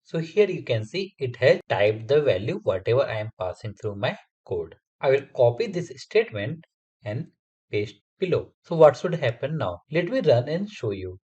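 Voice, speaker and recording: A man's voice: medium pitch, very animated, normal speed, darkish tone, breathy edge, dull audio. A man, a Hindi speaker, explains a coding tutorial step by step over a screen recording. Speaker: software testing instructor.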